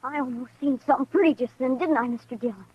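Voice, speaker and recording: A woman's voice: high voice, fast talker, slight background noise, lightly acted. A woman acting an eager, cocky runaway boy with a rural American accent in an old radio western, folksy and boastful. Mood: curious. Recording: dull muffled vintage radio recording.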